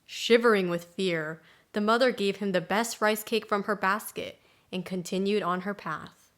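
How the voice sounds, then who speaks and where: Woman narrating a folktale, medium pitch. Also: fairly even intonation, normal speed. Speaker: storyteller.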